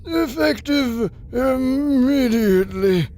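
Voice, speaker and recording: A woman's voice: high voice, lively intonation, warm tone, a little hiss or hum. A woman voicing a stern, grand royal ruler passing sentence in a radio adventure serial. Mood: grave, imperious. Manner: slow, grand, imperious delivery. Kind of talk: old-time radio adventure serial acting.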